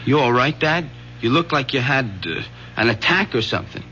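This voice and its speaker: A man playing a scared, guilty young son in a radio drama, agitated and halting. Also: medium pitch, animated, bright, forceful tone. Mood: anxious. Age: young adult. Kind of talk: 1970s radio drama acting. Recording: dull, slightly noisy old radio recording.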